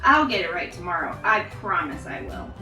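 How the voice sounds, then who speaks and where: A woman voicing a forgetful but eager male storybook rooster, with drawn-out crows and earnest apologies. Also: lowish voice, animated, echoey room, far from the mic, over music, voice pushed down, lightly acted. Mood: sheepish.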